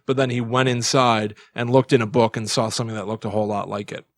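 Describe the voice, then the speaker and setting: A man's voice: medium pitch, quick pace, dry recording. Man chatting on a dry, close podcast recording. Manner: animated storyteller, mimics quotes, repeats phrases for comic emphasis. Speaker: podcast co-host. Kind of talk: casual comedic podcast chat.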